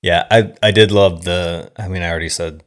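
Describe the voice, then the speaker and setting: Male film podcast co-host: low-pitched, loose and rambling, thinks aloud in unfinished phrases. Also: fairly even intonation, clean tone. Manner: halting, rambling, trailing off mid-thought. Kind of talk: casual film discussion.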